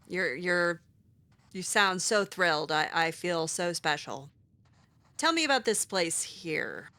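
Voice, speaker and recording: A woman's voice: lowish voice, lively intonation, takes it slowly, sharp tone, heavily acted. A woman voicing her sarcastic, clever young adventurer character in a game, teasing as she talks.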